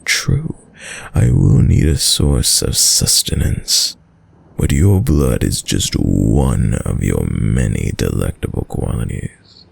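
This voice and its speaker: Casting: ancient sadistic vampire, seductive and dominant, slow, menacing delivery with huge melodic swings, for roleplay audio drama. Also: male voice, no room sound, heavily acted. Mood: possessive, seductive. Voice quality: deep, gravelly. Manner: menacing, silky, mock-tender, theatrical.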